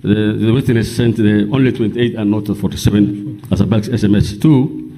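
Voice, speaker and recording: A man's voice: on the low side, fairly even intonation, moderate pace, dark tone. A man, speaking formally as a senator questioning a witness on documents. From Kenya. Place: parliamentary chamber. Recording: clear background noise, live chamber.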